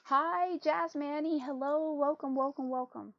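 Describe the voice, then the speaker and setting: A woman's voice: high-pitched, fairly even intonation, unhurried, no echo. Chatty woman on a muffled stream mic. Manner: chatty, rambling, laughs often, says "freaking". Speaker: podcast co-host. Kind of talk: casual livestream chat. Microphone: desk mic on a livestream.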